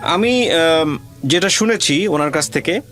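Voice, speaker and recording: A man's voice: fairly high pitch, expressive, slow, fairly bright tone, soft background music. A Bangladeshi man hosting a conversational radio interview, asking probing questions and repeating answers back. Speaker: radio show host interviewing guests.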